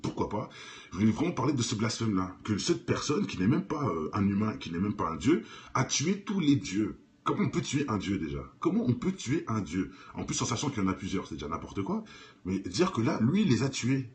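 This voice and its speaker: A man's voice: lowish voice, big pitch swings, a little fast, a little mellow. A man giving casual, improvised commentary in informal, slangy speech, addressing viewers directly and repeating phrases insistently for emphasis. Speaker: online video commentator. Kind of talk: casual improvised commentary on cartoons and conspiracy theories. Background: music.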